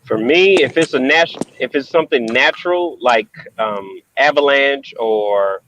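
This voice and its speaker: A man in a casual video call who talks haltingly with many pauses and restarts. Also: mid-range voice, a bit bright, slight background noise, poor audio. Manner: hesitant, many ums and restarts.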